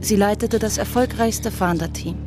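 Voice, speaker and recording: A woman's voice: mid-range voice, moderate pace, music in the background, close to an everyday voice. A woman narrating a German radio crime drama in a composed, scripted style.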